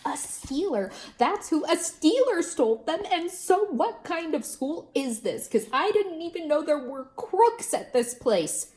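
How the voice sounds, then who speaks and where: A woman voicing an excitable little kindergarten girl who shouts in shrill, breathless outbursts. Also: unhurried, few pauses. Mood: indignant.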